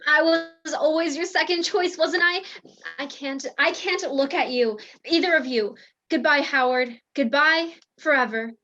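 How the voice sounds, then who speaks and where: Woman acting a lovestruck soap heroine, melodramatic, secretive, high voice, dull lo-fi recording. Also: a little slow, pushed and bright, slight background noise. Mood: wounded, heated. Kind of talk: radio play soap opera parody.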